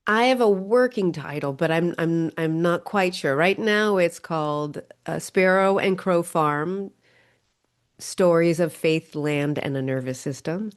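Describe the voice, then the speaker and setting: A middle-aged woman with a low voice talks as an interview guest. Her voice is smooth and resonant, though she is stuffy from a cold. She speaks eagerly, with a few restarts. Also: a little slow. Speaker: guest author and coach. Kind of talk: casual interview chat.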